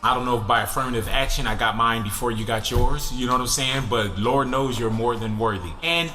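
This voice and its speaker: Man teasing in a bright voice. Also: mid-range voice, fairly quick, faint music behind. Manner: teasing, playful. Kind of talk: joking video tribute.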